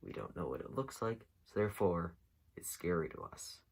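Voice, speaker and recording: A man giving casual, unscripted commentary as a video host, rambling along with halting pauses. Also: sits low, lively intonation, creaky. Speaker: host of an internet mystery series.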